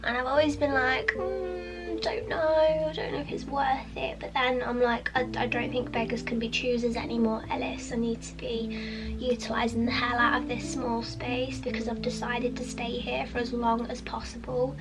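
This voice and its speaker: A young woman with a sniffly head cold chats in a soft, half-whispered ramble as she tidies her small home art studio. Her voice sits a little high and has a gravelly edge. Music plays clearly behind her. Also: flat delivery, normal speed. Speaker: artist vlogger. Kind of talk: casual studio vlog chat.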